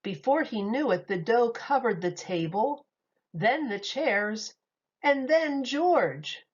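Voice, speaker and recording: Teacher reading a picture book to kids: a woman, expressive and unhurried, slightly dull recording. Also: a little high, husky. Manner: expressive, lively read-aloud.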